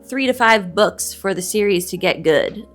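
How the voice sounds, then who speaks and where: A woman's voice: mid-range voice, animated, normal speed, fairly bright tone, faint music behind. A woman chatting casually and animatedly with a friend as a co-host, giving opinions in a playfully indignant, slangy way. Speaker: co-host of a bookish video show.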